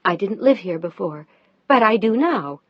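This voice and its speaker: A woman voicing a fierce, upset wife, loud, sharp and near tears. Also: medium pitch, animated, moderate pace, no room sound, muffled recording, lightly acted. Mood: angry.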